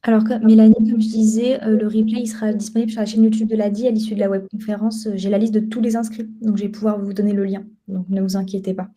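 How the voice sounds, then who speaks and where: A young woman presents a live webinar from her office, with a very mellow, dark-toned voice. She talks in a relaxed, conversational way and often pauses with fillers. Her pitch sits in the middle. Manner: conversational with frequent hesitations. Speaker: project officer and webinar co-host. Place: office at headquarters.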